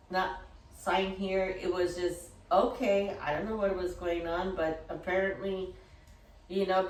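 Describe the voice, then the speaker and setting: A middle-aged woman telling personal stories casually, rambling in an animated way and acting out remembered dialogue. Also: fairly low pitch. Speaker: dog-business entrepreneur and course creator. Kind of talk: casual personal storytelling to camera. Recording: roomy echo, distant from mic.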